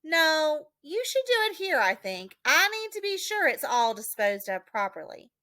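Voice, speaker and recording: A woman's voice: high-pitched, big pitch swings, unhurried, sharp tone, no room sound, voice pushed up, exaggerated voice. A woman voicing a polite but insistent busybody mother in a storybook, sugary, firm and meddling.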